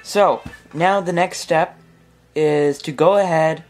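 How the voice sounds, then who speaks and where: A man giving a casual hands-on electronics tutorial, speaking haltingly as he thinks aloud while working. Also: fairly high pitch, background noise. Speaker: electronics hobbyist presenter. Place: small indoor room at a workbench.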